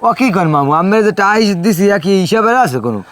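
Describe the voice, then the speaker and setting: Man acting a quick-talking village nephew. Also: sits high, lively intonation, fairly bright tone. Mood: protesting, concerned. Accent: Barisal, southern Bangladesh. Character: familiar, concerned rural nephew, respectful to his uncle. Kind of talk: drama dialogue, colloquial village talk.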